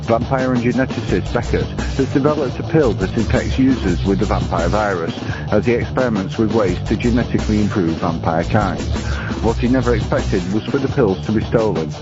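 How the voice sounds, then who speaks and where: Lowish-voiced man over loud music. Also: brisk. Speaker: guest film reviewer. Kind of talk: scripted film review narration. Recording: dull band-limited audio under loud music.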